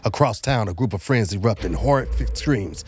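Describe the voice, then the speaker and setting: A man's voice: lowish voice, expressive, normal speed, a little mellow, slight background noise. A man narrating a movie trailer in a mock-dramatic way into a studio microphone. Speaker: comedian contestant. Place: podcast studio. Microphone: studio microphone with headset.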